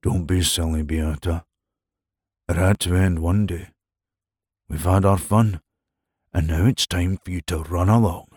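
A cruel middle-aged man, voiced by the narrator, talks down to his lover with smug, sneering contempt. His voice sits low, quiet and dry, close to the microphone. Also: fairly even intonation, measured pace, long pauses, husky, dry recording. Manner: sneering, condescending.

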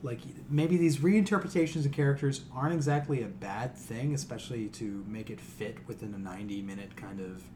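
A man with a mid-pitched voice co-hosts a casual podcast. He talks in an opinionated, slangy way and swears freely. Faint noise and room ambience sit behind him. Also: fairly quick, hardly any pauses. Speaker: podcast co-host. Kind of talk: casual chat.

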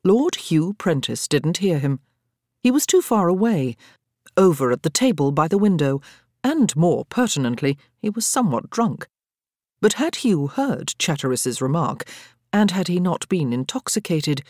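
Expressive English woman narrating, animated pitch. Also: no echo, studio quality. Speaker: audiobook narrator. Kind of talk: scripted literary narration.

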